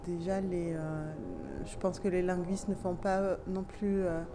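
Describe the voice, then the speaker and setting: A woman from Tunisia whose first language is Tunisian Arabic, speaking French with a light Tunisian accent and a slightly nasal tone, giving thoughtful, hesitant interview answers with many pauses and restarts. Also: lowish voice, fairly even intonation, noisy background.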